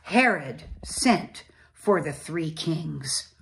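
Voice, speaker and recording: A woman reading a storybook aloud to children as a church storyteller, with deliberate, dramatic phrasing and pauses. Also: low voice, animated, creaky.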